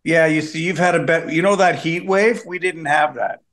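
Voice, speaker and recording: A man's voice: on the high side, moderate pace, fairly bright tone. A man from Canada, a comedian guest on a video call, telling wry, complaining stories in a casual interview.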